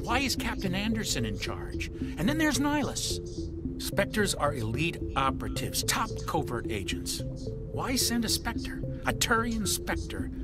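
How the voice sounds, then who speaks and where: Earnest, suspicious spaceship navigator for a game. Fairly high, very animated delivery. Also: male voice. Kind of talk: video game voice acting. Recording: loud game music behind the voice.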